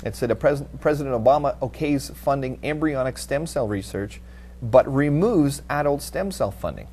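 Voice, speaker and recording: A middle-aged man hosting a studio panel talk, reading out and commenting on news in a halting way. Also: mid-range voice, expressive, a little mellow, slightly rough. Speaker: TV panel host. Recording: audible background noise with hum.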